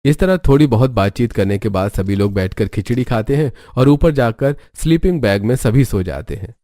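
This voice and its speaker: A man's voice: mid-range voice, animated, normal speed, mellow. A man reading scripted story narration for a cartoon tale.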